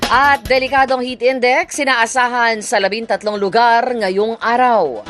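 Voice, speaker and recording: A woman radio anchor reads scripted news with a Filipino accent. Her voice sits fairly high, and a music bed plays softly behind her. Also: fairly even intonation, a little slow.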